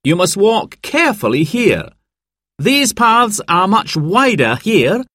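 A man's voice: high-pitched, very animated, takes it slowly, a bit bright, no echo, clean studio sound, pitched above the performer's own voice, exaggerated voice. A man voicing an upbeat male mountain guide with an emphatic, instructive manner in a storybook.

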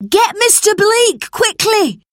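A woman voicing a panicked adult male factory worker shouting for help after an accident, in a storybook reading. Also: very high voice, animated, slow, no room sound, clean studio sound, pitched above the performer's own voice, exaggerated voice. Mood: urgent, alarmed. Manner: urgent shouting.

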